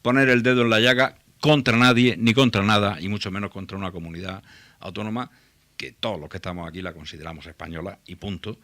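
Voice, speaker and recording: A man with a Murcian accent who moderates a local radio talk show, speaking in a measured way and steering the discussion. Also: on the low side, a bit bright, dry recording. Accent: Murcian Spanish.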